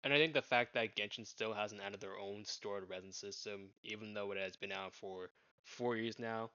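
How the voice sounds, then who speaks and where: A young man delivering gaming commentary in a steady monotone. His lowish voice is bright and smooth. The sound is dry and a little muffled, as if from a home mic. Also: normal speed, dry recording. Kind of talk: opinion commentary to camera.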